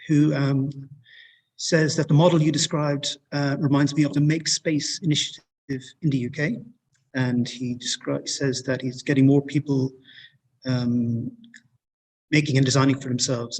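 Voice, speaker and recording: A male moderator with a gravelly, husky voice at a middle pitch. He leads a panel discussion over a video call and patiently rephrases his questions until they land. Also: rough recording.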